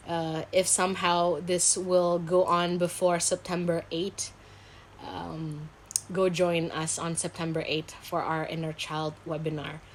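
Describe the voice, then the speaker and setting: A woman from Indonesia with a fairly low voice talks casually as an interview guest. She is a counselor, speaking in an informal, candid and self-reflective way. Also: little pitch movement, measured pace, slight background noise, poor audio. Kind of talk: casual video interview chat.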